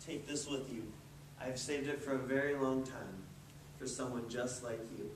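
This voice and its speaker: Man playing gentle ancient turtle, distant and echoey. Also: medium pitch, fairly even intonation, moderate pace, natural voice. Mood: solemn, kindly. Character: wise, gentle, ancient turtle, patient and kindly. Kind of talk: readers theatre storybook performance. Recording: roomy, distant mic with clear noise.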